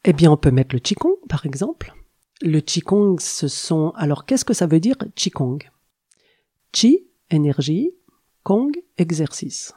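A middle-aged woman, a practitioner of traditional Chinese medicine, explaining things in a calm, teacherly way with thoughtful pauses. She is slightly congested from a cold. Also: sits low, slightly rough. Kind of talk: explanatory interview talk.

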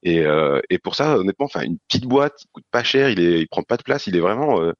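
Hesitant male guest, low voice, fast delivery, dull lo-fi audio. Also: sing-song, no room sound. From France. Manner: hesitant, many fillers and restarts. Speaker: podcast guest. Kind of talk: casual chat about board games.

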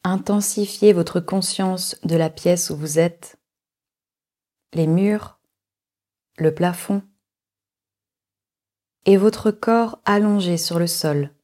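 A woman leading a guided relaxation meditation as a yoga nidra instructor, giving calm, measured instructions with long pauses. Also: fairly low pitch.

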